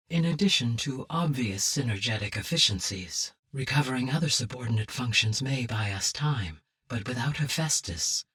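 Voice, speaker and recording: Female game AI, husky low voice, calm, analytical, formal, precise, slightly distant from mic. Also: heavily acted. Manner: measured, formal, precise diction. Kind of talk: scripted video game dialogue.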